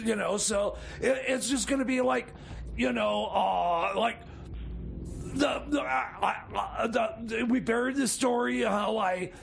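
A man playing a rambling, excitable, shifty sidekick in comedy radio theater, stammering and repeating words in a gravelly voice. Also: high voice, slow, slight background noise, full character voice. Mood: evasive, flustered.

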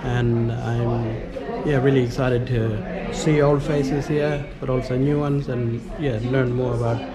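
A man with a middle pitch speaks softly and hesitantly, his first language Martu. He pauses on fillers as he talks. A loud crowd murmurs behind him. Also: steady tone, poor audio. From Western Australia. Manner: soft-spoken, hesitant, with fillers. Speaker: interpreter. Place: indoor conference venue.

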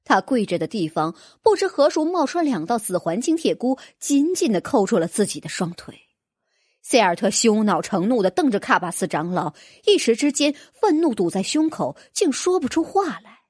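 A woman's voice: fairly high pitch, sing-song, moderate pace, a little mellow, husky, dry recording, clean studio sound. A woman narrating a fantasy audiobook in a dramatic, scripted storytelling style.

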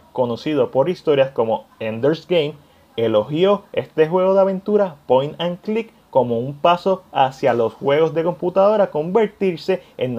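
A man presenting a scripted video essay as channel host, addressing viewers in an expressive voice-over. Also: a little high, highs cut off.

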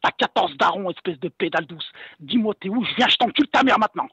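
A man's voice: sits high, expressive, fast talker, pushed and bright, husky, dry recording. Furious man threatening over a dull phone line. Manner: aggressive, threatening, cursing. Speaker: seller pranked on the phone. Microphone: heard over a phone call.

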